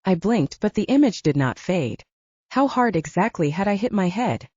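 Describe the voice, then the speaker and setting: A woman's voice: mid-range voice, big pitch swings, moderate pace, a little mellow, husky, no echo, muffled recording. A woman reading scripted first-person fiction as an audiobook narrator.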